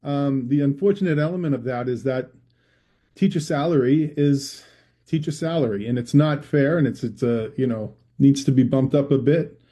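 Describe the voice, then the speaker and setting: A man's voice: medium pitch, moderate pace, warm tone, husky. A middle-aged man from Massachusetts with a Massachusetts accent, a researcher answering interview questions in a rambling way with many restarts. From Massachusetts, USA. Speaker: guest, public health researcher. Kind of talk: conversational interview.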